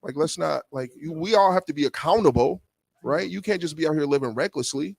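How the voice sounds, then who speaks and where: A man's voice: fairly high pitch, brisk, vocal fry, darkish tone, breathy edge, no room sound. A man co-hosting a radio talk show, chatting casually with a teasing, joking manner. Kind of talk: casual chat.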